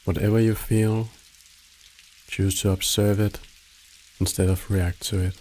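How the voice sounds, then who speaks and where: Very slow, deep-voiced man guiding meditation. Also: flat delivery, a little mellow, poor audio. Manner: soothing, measured, instructing in short phrases with long pauses. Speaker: meditation guide. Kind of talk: guided meditation narration.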